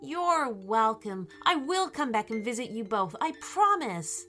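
A woman's voice: fairly high pitch, big pitch swings, unhurried, sharp tone, soft background music, exaggerated voice. A woman voicing an eager, kind little boy explorer in a children's story, with an earnest, chirpy manner.

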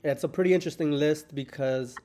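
A man in his thirties from the United States, hosting a podcast in relaxed, rambling casual chat and swearing casually. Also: a little high, fairly quick. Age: 30s. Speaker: podcast host. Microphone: remote call.

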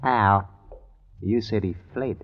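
A gruff, low-voiced jailed husband, slow and doubtful, with greedy leanings. The old radio play sounds tinny and narrow. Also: male voice. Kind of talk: old-time radio drama acting.

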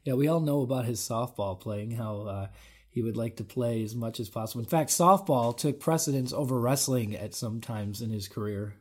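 A man's voice: mid-range voice, moderate pace, a little mellow. A man co-hosting a podcast, upbeat as he reads out listener questions and plugs a website. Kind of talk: casual interview chat.

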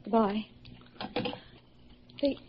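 A woman's voice: extremely slow, slight background noise. A woman acting a worried, helpful young woman in a classic radio drama. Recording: muffled old radio recording.

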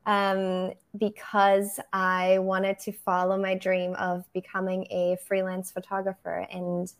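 Young woman, thoughtful and measured. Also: medium pitch, monotone. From Germany. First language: German. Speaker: photographer, podcast guest. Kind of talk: interview answers.

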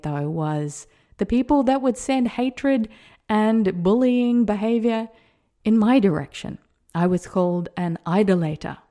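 A woman's voice: lowish voice, dark tone. A woman in her forties from New Zealand, hosting a podcast and telling a personal story in a reflective, casual way. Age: 40s. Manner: reflective, storytelling with light laughs. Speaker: podcast host. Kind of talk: casual solo monologue.

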